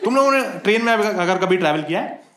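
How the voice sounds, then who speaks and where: A young man from India performing a stand-up comedy set on a club stage, telling stories in an animated, mock-exasperated way into a handheld mic. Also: high voice, fast talker, background noise. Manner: animated, conversational storytelling with mock-exasperated asides. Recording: roomy club sound with loud crowd laughter.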